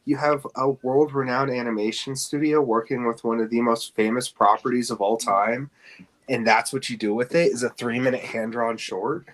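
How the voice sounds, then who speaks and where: A man's voice: medium pitch, steady tone, normal speed, a bit bright, low-quality recording. A man co-hosting a podcast, chatting casually and giving his opinions in a conversational way. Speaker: podcast co-host. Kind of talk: casual chat about games and film news.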